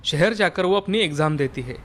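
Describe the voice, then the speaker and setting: A man's voice: on the high side, animated, fairly quick, a little hiss or hum. A man narrating a scripted children's cartoon story.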